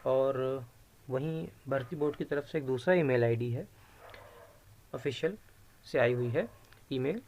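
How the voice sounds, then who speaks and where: A man's voice: medium pitch, a little slow, long pauses, lo-fi sound. A man presenting an informal screen-share explainer, explaining patiently by repeating and rephrasing key points. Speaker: YouTube presenter explaining recruitment rules.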